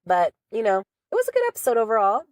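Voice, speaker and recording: A woman's voice: on the high side, wildly swooping pitch, quick pace, dry recording, studio quality. A woman with a cold, casually recapping a reality show episode in a rambling, chatty way and signing off with encouragement. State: sniffly with a cold. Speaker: reality show reaction vlogger.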